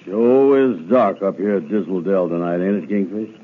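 An actor playing a slow, simple, compliant pal in a 1950s radio comedy. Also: male voice, low voice, loud music behind the voice, lightly acted. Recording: tinny old radio recording.

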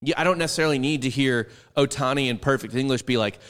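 A man's voice: mid-range voice, fast, fairly bright tone. A man co-hosting a sports podcast in casual, rambling conversation with frequent swearing. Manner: rambling, profane.

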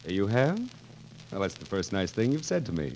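A man's voice: deep voice, very animated, moderate pace, darkish tone. An actor playing a peevish, crafty, weary king of France in 1940s radio style, dry and querulous. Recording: noisy vintage 1940s radio recording.

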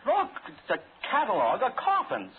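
An actor playing a dry, world-weary radio actor in a 1940s radio drama. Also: male voice, very high voice, wildly swooping pitch, normal speed, full character voice. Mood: puzzled. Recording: muffled, noisy 1940s radio recording.

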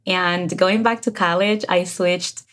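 Energetic woman with a Dominican accent. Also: lowish voice, takes it slowly. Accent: Dominican Spanish. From Dominican Republic. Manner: energetic, laughs often. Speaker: guest, career coach. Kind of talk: casual interview chat.

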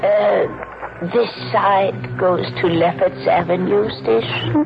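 A woman playing a ragged old crone in a 1940s radio mystery, coaxing and cooing in a drawn-out, hesitant way. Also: medium pitch, fairly even intonation, gravelly. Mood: creepy, cooing. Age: older. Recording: muffled 1940s radio broadcast, music behind.

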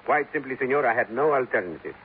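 An actor playing a calm, stubborn police captain with a Spanish accent, speaking formally and deliberately in a 1950s radio drama. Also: male voice, medium pitch, narrow, tinny audio, natural voice. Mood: firm, controlled. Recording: noisy, thin 1950s radio recording.

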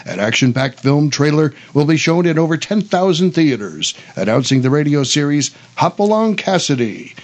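An older man co-hosting a radio talk show, chatting casually and reading bits aloud in a halting way. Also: a little high, big pitch swings, darkish tone. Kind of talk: casual chat about old radio westerns, some reading aloud.

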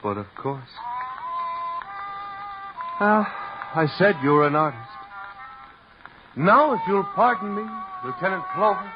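High-voiced, wildly animated mad killer. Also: male voice, unhurried, long pauses. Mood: gleeful madness. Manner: theatrical, mannered, smug. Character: mad, artistic male killer, arrogant, theatrical, coolly amused. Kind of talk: 1950s radio crime drama acting. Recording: dull old radio recording, loud music.

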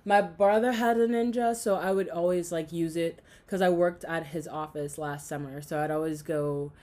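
A young woman co-hosting a podcast, chatting casually and telling animated stories about her family. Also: fairly low pitch, normal speed. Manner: animated storyteller who acts out family dialogue, laughs easily. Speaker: podcast co-host. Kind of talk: casual chat.

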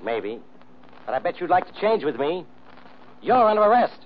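An American actor playing a blustering, stubborn, gullible police inspector, gruff and bossy, in 1940s radio style. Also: male voice, high voice, sing-song, normal speed. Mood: triumphant, stubborn. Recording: dull, noisy old radio recording.

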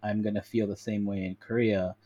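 An American man with a fairly low voice, hosting a casual conversation. He sounds reflective and candid, tentatively working out his thoughts as he talks. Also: flat delivery, a little fast, mellow, highs cut off. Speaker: podcast host, interviewer. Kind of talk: casual chat.

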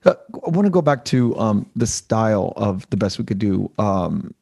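A man with an American accent hosting a casual interview, halting as he searches for words and repeats phrases. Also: fairly low pitch, very animated, vocal fry, a little mellow, slightly rough. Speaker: interview host.

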